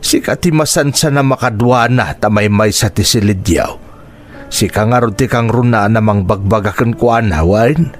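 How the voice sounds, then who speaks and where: A man's voice: on the low side, moderate pace, lightly acted. An actor with an Ilocano Filipino accent playing a stern, burdened older father in a radio drama. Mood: earnest.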